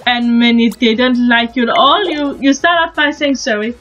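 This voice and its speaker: A young woman from Denmark with a Danish accent, chatting casually and haltingly as she shows a game on her new channel, restarting her sentences often. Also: fairly high pitch, fairly even intonation, fairly bright tone, dull audio, faint music behind. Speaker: gaming channel host. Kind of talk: casual gameplay commentary.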